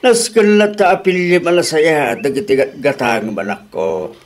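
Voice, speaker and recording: A man's voice: normal speed, close to an everyday voice. A man with an Ilocano accent voicing a thrifty old father who lectures his daughter in a radio drama. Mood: lecturing. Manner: lecturing, swooping pitch.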